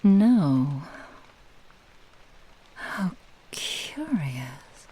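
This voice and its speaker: Woman as a slow, whispering possessive fox witch. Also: low voice, big pitch swings, mellow, full character voice, poor audio. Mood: seductive, possessive. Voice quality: breathy whisper. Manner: slow, lingering, grandiose, intimate. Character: possessive, proud female fox-spirit witch, seductive and lonely. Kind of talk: whispered ASMR roleplay.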